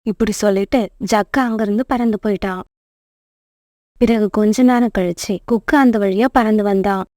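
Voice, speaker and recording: A woman's voice: medium pitch, fairly even intonation, normal speed, dark tone, dry recording, clean studio sound. A woman narrating a scripted moral story for children.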